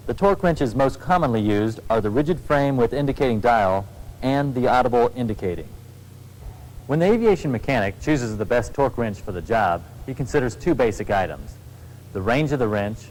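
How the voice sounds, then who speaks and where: An American man giving scripted training film narration in a formal, deliberate, matter-of-fact way. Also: mid-range voice, a little mellow, slight background noise, rough recording.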